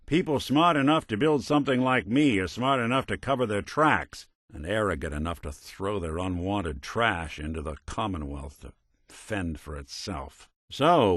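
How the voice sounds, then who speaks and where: A man's voice: fairly low pitch, fairly bright tone, no echo, clean studio sound. A male actor playing a weary old android detective in a video game, talking in wry, slangy, hard-boiled noir style. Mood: grim, explanatory.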